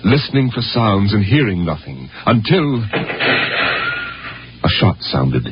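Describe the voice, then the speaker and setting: A man's voice: on the low side, sing-song, slow pace, muffled recording, very noisy. A man narrating a 1950s radio drama in a solemn, literary, suspenseful way. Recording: vintage 1950 radio broadcast, music behind. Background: dramatic music.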